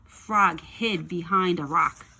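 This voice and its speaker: A woman reading a children's story aloud with expressive narration. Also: on the low side, takes it slowly, husky, rough recording. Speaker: storybook reader.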